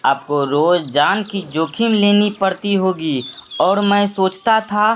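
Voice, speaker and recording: Man as a high-pitched naive little boy bird. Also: takes it slowly, a little hiss or hum. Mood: scared, awed. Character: young boy bird, naive, nervous son. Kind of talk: acted cartoon moral story.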